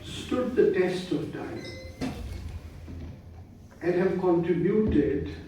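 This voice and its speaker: A man from India, a professor giving a measured formal speech. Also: high voice. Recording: echoey hall, distant mic, faint noise.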